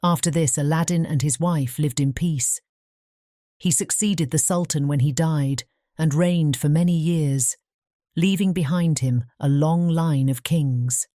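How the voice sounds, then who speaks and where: A woman narrates a storybook in a low, mellow voice. The reading is scripted audiobook narration, recorded very dry and close. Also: a little slow, no room sound.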